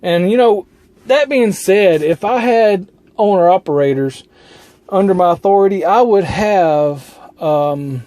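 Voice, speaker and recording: A man's voice: high-pitched, lively intonation, measured pace, dark tone, no echo. A man talking casually as a trucker vlogger, explaining things in a folksy, colloquial way.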